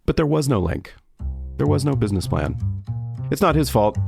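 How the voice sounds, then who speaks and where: A man's voice: mid-range voice, very animated, normal speed, gravelly, darkish tone. A man who hosts a podcast, reading a scripted promo narration. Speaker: podcast host. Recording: music behind the voice.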